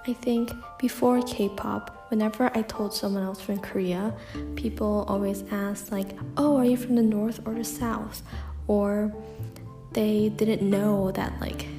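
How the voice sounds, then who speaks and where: Reflective young woman, echoey room. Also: mid-range voice. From United States. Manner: reflective, frequent "like" and "um" fillers. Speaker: podcast host. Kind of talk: personal solo podcast monologue. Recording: echoey room with faint music.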